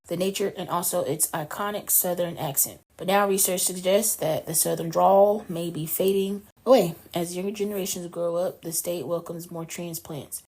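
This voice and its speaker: North carolina woman, co-host reading news then chatting, kinda low and mellow. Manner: chatty, reads news items then riffs on them. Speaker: podcast co-host. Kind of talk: casual chat and news reading.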